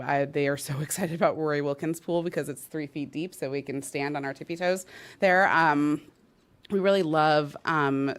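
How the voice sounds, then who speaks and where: A woman in her thirties from New York giving an earnest public speech as a parks official, with many fillers and restarts. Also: low voice.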